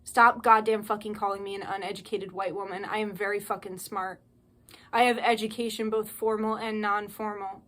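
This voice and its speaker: A woman's voice: medium pitch, little pitch movement, normal speed, fairly bright tone. A young woman delivering a heated, profanity-laced monologue straight to her viewers, ranting and pleading. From United States. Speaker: spiritual channel host. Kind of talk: impassioned monologue to camera.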